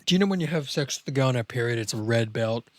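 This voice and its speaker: A man's voice: medium pitch, lively intonation, fast, no echo. An Australian man hosting a podcast in casual, crude banter, blokey and swearing freely. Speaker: podcast host.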